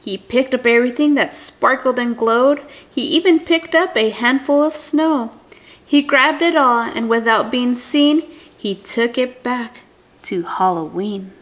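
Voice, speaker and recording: High-voiced woman reading a storybook, unhurried. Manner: deliberate, dramatic phrasing with questions to a child listener. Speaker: adult reading a picture book aloud to a child. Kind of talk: expressive storybook read-aloud for a young child.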